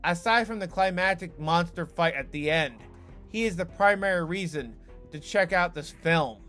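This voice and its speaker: A man's voice: high voice, normal speed, pushed and bright, soft background music. A man hosting a scripted video essay, narrating with wry asides to the viewer. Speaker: host.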